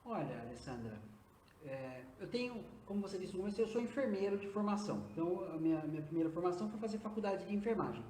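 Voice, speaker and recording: A man's voice: high-pitched, lively intonation, fast, darkish tone, slight background noise. Earnest Brazilian man in his fifties, roomy sound. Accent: Brazilian Portuguese. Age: 50s. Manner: earnest, many hesitant "é" fillers. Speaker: guest, nurse and acupuncturist. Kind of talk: live interview answers. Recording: roomy echo.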